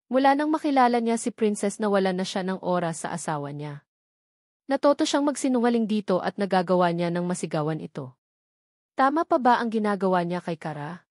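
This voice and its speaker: A woman's voice: mid-range voice, darkish tone, dry recording, clean studio sound. A woman narrating a romance novel from a script, as a storyteller.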